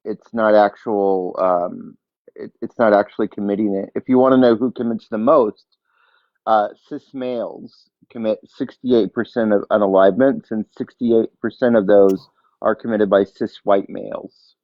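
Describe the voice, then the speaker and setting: A man jumps in to correct facts in a matter-of-fact tone, his voice slightly low. His audio comes through dull and dry, with the top end missing. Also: fairly even intonation, moderate pace, dry recording. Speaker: livestream guest. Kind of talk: casual debate.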